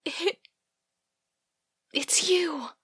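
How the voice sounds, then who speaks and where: An actress playing an earnest, emotional young heroine in an audio drama, pleading and desperate. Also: female voice, very high voice, drawn-out words, fairly bright tone, dry recording, clean studio sound, heavily acted. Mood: hopeful, emotional.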